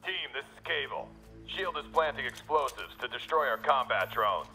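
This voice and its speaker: A man's voice: medium pitch, lively intonation, moderate pace, pushed and bright, faint music behind. An actor playing a commanding male military hero in a video game, giving terse, urgent orders. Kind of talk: video game voice acting, mission radio orders.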